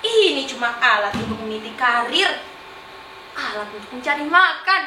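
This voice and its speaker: A young woman acting a boastful, mocking self-styled artist in a theatrical stage monologue, exaggerated and taunting, with drawn-out, singsong words. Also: sits high, very animated, pushed and bright, heavily acted. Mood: dismissive. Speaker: student stage actor in a monologue competition. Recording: roomy echo, faint background noise.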